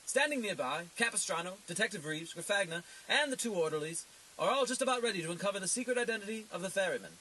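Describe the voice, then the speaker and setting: Fast, bright woman narrator. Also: medium pitch, animated, a little hiss or hum, poor audio. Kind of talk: scripted dramatic radio narration.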